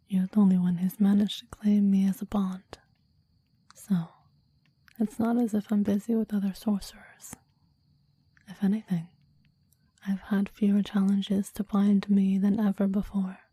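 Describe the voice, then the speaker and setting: A woman playing a clingy, deadly demon devoted to a master, in a breathy ASMR roleplay whisper with formal, old-fashioned phrasing. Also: mid-range voice, warm tone, no room sound. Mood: devoted, pleased. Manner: formal, archaic, reverent phrasing.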